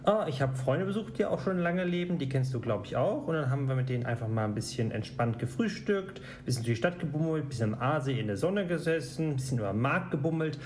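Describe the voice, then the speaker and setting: A man from Germany, co-hosting a podcast in a relaxed, joking way. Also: mid-range voice, fast, few pauses, a little hiss or hum. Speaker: podcast co-host. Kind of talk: casual podcast chat.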